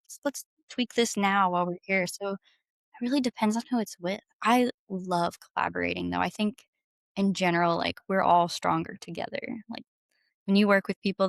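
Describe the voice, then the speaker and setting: Creaky, low-pitched woman chatting as guest. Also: no room sound, clean studio sound. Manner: effusive, informal, peppered with "like". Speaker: interview guest, singer-songwriter. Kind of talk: casual chat.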